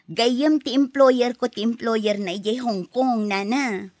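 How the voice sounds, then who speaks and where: A woman's voice: a little high, unhurried, a little mellow, no room sound. An actress playing a cheerful young woman with an Ilocano accent who laughs often in a radio drama.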